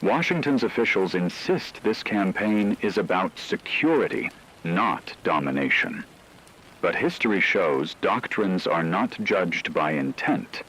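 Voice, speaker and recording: A man playing a grave radio news anchor, reading a scripted emergency broadcast in a measured, solemn, deliberate way, over a noisy recording. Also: low-pitched, animated, a bit bright, husky, heavily acted.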